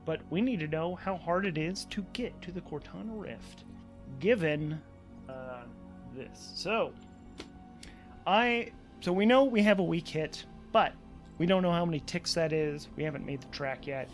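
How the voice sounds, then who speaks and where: A man narrating a solo tabletop role-playing game as game master, improvising haltingly and often repeating words. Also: fairly high pitch, faint music behind.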